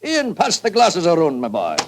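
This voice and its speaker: A man's voice: a little high, wildly swooping pitch, normal speed. An actor playing an elderly, jovial Scottish baronet and grandfather in a 1940s radio drama, hearty and expansive with a Scottish accent. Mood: hearty, hosting. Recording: noisy old 1940s radio recording.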